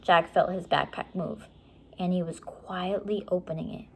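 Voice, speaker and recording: A woman reading a children's chapter book aloud with measured, expressive storytelling. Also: lowish voice, creaky, husky. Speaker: story reader.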